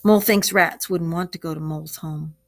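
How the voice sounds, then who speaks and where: A woman's voice: deep voice, fairly even intonation, a little fast. A woman teacher reading a story aloud to children and explaining it expressively, asking the class questions. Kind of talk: read-aloud lesson for children.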